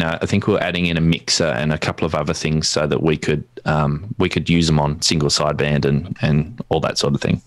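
An Australian man giving an informal technical presentation in a halting, explanatory way. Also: low-pitched, fairly bright tone, no room sound. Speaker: guest presenter, amateur radio enthusiast. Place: indoors at a desk, video call.

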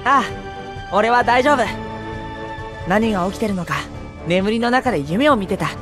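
A woman's voice: medium pitch, moderate pace, music in the background, close to an everyday voice. A woman playing a cheerful, eager, earnest teenage boy hero in a Japanese game. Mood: earnest, grateful. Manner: earnest, boyish, excitable. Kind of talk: Japanese game cutscene acting.

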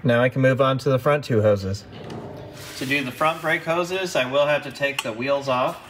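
A man's voice: mid-range voice, moderate pace, noisy background. A man narrating a car repair step by step, practical and instructive, as the host of his own workshop videos.

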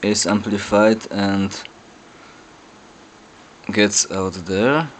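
A man with a fairly low voice narrates a hands-on demonstration. He speaks very slowly, in halting, deliberate phrases. The camera microphone picks up clear noise behind him. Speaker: host of an electronics demo video. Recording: clear background noise on amateur camera audio.

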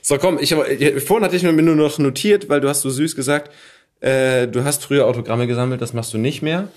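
A man's voice: medium pitch, fairly quick, darkish tone. A man in his thirties hosting a casual, conversational interview. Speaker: podcast host, interviewer. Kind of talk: casual chat. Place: indoors, seated podcast recording.

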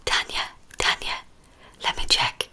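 A woman's voice: unhurried, bright, forceful tone. A woman whispers playfully and casually to live viewers, close to the mic, often repeating phrases. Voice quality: breathy whisper. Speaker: ASMR live streamer. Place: small home room, indoors. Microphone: large microphone right in front of mouth.

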